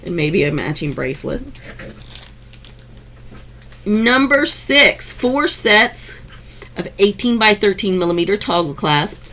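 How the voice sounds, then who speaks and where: A woman at a mid pitch talks casually through an unboxing in her home craft room, gushing and restarting her sentences. Her voice is slightly hoarse, and a faint hiss sits under the recording. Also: animated, takes it slowly, long pauses, pushed and bright. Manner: chatty, gushing, with frequent ums and restarts.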